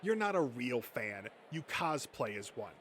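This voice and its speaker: A man's voice: mid-range voice, sing-song, a little slow, a bit bright. A man voicing a hostile, contemptuous gatekeeping nerd in a comic dub, with a sneering, foul-mouthed manner and noise in the recording. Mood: scornful. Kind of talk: comic dub character acting.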